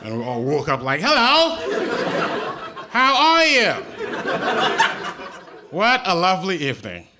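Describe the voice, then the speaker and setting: A Haitian man with Haitian Creole as his first language works a comedy club crowd, his pitch swinging widely as he leaps into squeaky mock voices for punchlines. His voice comes through a handheld stage mic over loud hiss and bursts of audience laughter. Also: unhurried, pauses often. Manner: animated, jumps into high mock voices for punchlines. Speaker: stand-up comedian. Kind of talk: stand-up comedy set.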